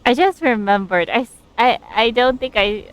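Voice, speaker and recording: A woman's voice: on the high side, moderate pace, clear, resonant tone. A woman giving casual, amused commentary as she streams a game, musing aloud in a hesitant way.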